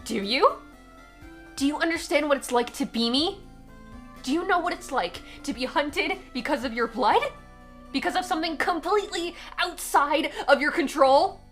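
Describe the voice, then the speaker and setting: Casting: woman to play defiant young alien outcast girl, high voice, guarded and sarcastic, casual delivery, comic fan dub over music. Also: animated, normal speed, fairly bright tone. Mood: wounded, challenging.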